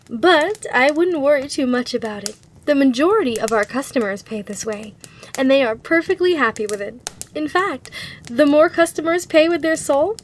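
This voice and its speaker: A sly demon hotel clerk played by a woman speaks in a high female voice for an ASMR roleplay. She is courteous and soothingly salesy, as if serving a guest at the front desk. A faint hiss sits behind her. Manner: courteous, reassuring customer-service patter.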